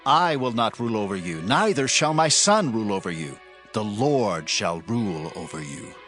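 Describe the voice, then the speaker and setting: A man's voice: on the low side, sing-song, normal speed, a bit bright, soft background music. A man playing a commanding, righteous ancient war leader in a dramatized scripture reading, declaiming theatrically. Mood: measured authority.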